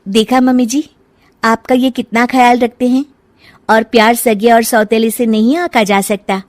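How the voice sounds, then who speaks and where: A woman voicing a caring young daughter-in-law who gently chides, in a cartoon moral story. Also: on the high side, fairly even intonation, moderate pace, dry recording, voice pushed up, lightly acted. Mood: earnest.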